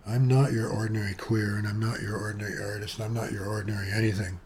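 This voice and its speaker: A soft-voiced Canadian man in his seventies, sitting at his computer at home and rambling through a personal video monologue with many asides. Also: lowish voice, flat delivery, quick pace, a little hiss or hum. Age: 70s. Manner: chatty, eccentric, wandering with asides and fillers. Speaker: vlogger. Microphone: webcam with external sound gear.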